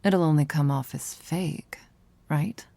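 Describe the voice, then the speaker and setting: Aloof vampire sister woman acting, lowish gravelly voice, blunt, sardonic and standoffish, for ASMR roleplay. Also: slow pace, mellow, dry recording, heavily acted. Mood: grudging, cold. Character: cold, abrasive, protective vampire sister.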